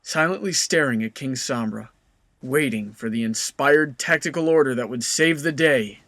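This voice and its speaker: A man's voice: medium pitch, normal speed, fairly bright tone, no echo. A man reading scripted story narration in a dramatic storytelling style.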